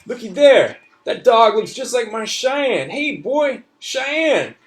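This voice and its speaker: Guy doing a wide-eyed little kid, high and eager, hollers singsongy. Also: big pitch swings, unhurried, recorded from a distance, soft background music, heavily acted. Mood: wondering. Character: excited little boy masking at Mardi Gras.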